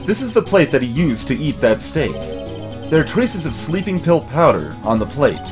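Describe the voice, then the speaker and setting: A man voicing an earnest, persistent young male defense lawyer, reading video game dialogue in a measured, insistent way. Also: a little high, sing-song, music in the background. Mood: earnest, pressing.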